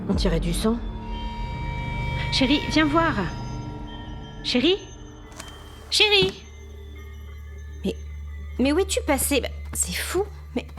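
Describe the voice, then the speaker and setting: A woman's voice: medium pitch, natural voice. A woman playing a frightened female tourist trapped in a haunted hotel, talking to herself in agitation in a French horror audio drama. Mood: uneasy, then anxious, calling out. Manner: breathless, agitated self-talk, from hushed muttering to exasperated calling.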